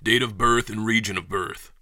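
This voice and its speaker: Clipped space agency interviewer, very sharp bright voice, quick, lowish. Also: male voice, fairly even intonation. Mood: brisk, official. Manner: clipped, official. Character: brisk, businesslike male panel interviewer at space agency. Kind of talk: audio drama acting.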